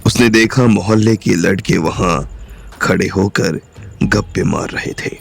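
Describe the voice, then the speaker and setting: A man narrating a romantic crime audio drama in a measured storytelling manner. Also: low voice, creaky.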